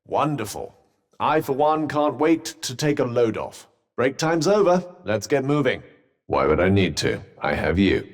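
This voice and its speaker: A man's voice: mid-range voice, sing-song, a little slow, long reverb tail. A man voicing a sardonic male talking magic bracelet in a video game, with dry teasing and arch, formal phrasing.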